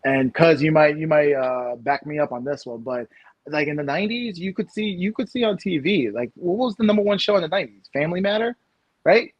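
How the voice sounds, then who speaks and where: A man in his thirties from the United States, co-hosting a casual podcast chat, animated and rambling with lots of fillers and restarts. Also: on the high side, a little fast, no room sound, dull audio. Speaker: podcast co-host.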